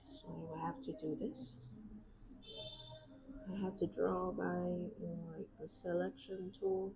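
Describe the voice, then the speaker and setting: A woman's voice: lowish voice, little pitch movement, a little slow. A young woman with a Vietnamese accent, casually chatting and thinking aloud with lots of fillers as she draws on a live stream. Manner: soft-spoken, thinking aloud with many fillers. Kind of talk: casual chat while drawing. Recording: clear background street noise. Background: outside street noise.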